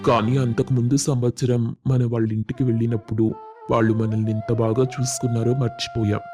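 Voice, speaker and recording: A man voicing an older village family elder, measured and reproachful, in a moral story cartoon. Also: mid-range voice, little pitch movement, over music, lightly acted. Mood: reflective.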